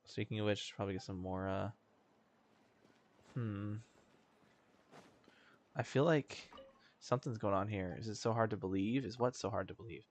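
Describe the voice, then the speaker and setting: Low-voiced man casually commentating a video game. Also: moderate pace, long pauses, a little hiss or hum. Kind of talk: casual gaming commentary, reading dialogue aloud. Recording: background game music.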